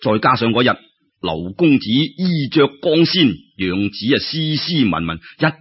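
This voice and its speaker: A man with a Cantonese accent telling a story in an expressive, colloquial way, with exclamations and rhetorical questions. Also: mid-range voice, a little slow, slightly rough. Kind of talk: animated traditional Cantonese storytelling narration. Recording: dull lo-fi audio.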